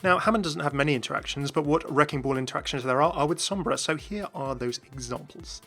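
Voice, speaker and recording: Fast-talking bright-voiced man hosting. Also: fairly high pitch, soft background music. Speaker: video host. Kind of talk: enthusiastic scripted game commentary.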